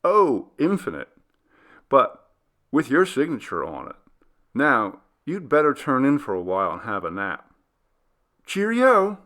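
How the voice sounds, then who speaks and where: A man's voice: mid-range voice, huge pitch swings, a little slow, pauses often, fairly bright tone. A man voicing a hearty, wry male friend in a storybook reading, jovial and emphatic as he teases his companion. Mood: hearty farewell. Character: hearty, teasing male friend seeing someone off.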